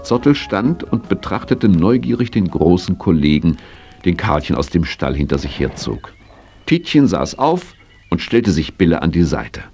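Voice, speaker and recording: An actor narrating a children's horse story in a 1980s audio drama, telling it expressively. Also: male voice, sits low, sing-song, normal speed, darkish tone, faint music behind. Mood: storytelling.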